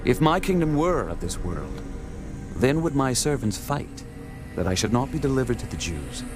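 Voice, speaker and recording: An actor playing a calm, authoritative holy teacher, speaking solemnly and formally in a dramatized scripture production. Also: male voice, mid-range voice, moderate pace, music in the background.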